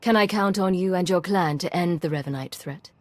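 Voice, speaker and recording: A woman acting a cool commander in a video game. She speaks in a fairly low voice, with terse, direct and controlled lines. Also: brisk, no room sound, lightly acted. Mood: cool, businesslike. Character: cool, businesslike female Imperial agent commander.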